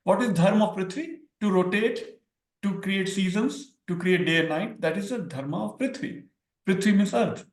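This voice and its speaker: An Indian-accented man whose first language is Hindi lays out ideas in an explanatory way, repeating words for emphasis. His voice is gravelly and sits a little high. The recording sounds echoey, as if made in a reflective room. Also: normal speed. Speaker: scholar guest. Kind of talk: academic discussion.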